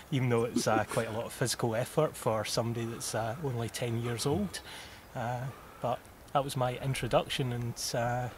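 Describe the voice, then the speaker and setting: Scottish man, 30s, interview guest, easygoing and halting, mid-range voice, audible background noise. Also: mid-range voice. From southwest Scotland. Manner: unhurried, with frequent "uh" pauses and occasional laughs. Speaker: mountaineer guest. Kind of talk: interview answers.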